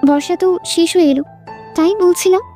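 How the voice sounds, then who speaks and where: A wistful young woman in a romantic audio drama, played by an actress, with a very high voice. She speaks softly and poetically, holding her feelings back, over clear background music. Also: fairly even intonation, fairly quick. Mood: quietly sad. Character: wistful young woman, nameless coffee-shop companion in love.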